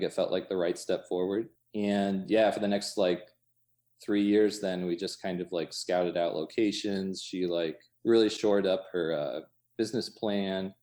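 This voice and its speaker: A man talking casually as an interview guest, in an informal, halting way full of hedging asides. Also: sits low, a little mellow. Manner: informal, halting, many hedging asides, chuckles through speech. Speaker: interview guest, coffee shop co-owner. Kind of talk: casual chat. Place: inside his cafe.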